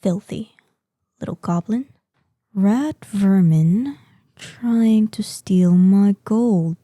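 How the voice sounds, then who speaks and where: Woman voicing a slow, dark-toned, imperious she-dragon. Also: mid-range voice, no room sound, clean studio sound. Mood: menacing. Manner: slow, imperious, savoring threats. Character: ancient, menacing, proud female dragon guarding hoard, lonely, excitable. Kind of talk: scripted audio roleplay acting.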